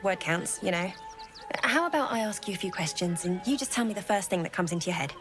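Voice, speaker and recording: A woman playing a composed, probing journalist in a fantasy video game, putting pointed questions in a measured manner. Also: fairly low pitch, animated, fairly bright tone, soft background music. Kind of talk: video game dialogue acting.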